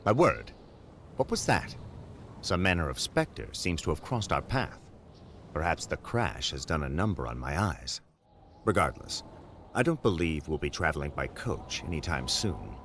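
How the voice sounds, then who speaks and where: A man playing a composed, formal gentleman detective in a video game, with old-fashioned measured phrasing. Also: rumbling low, sing-song, slight background noise, heavily acted. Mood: startled. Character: gentlemanly male detective companion, composed and formal, quick to alarm. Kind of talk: video game character acting.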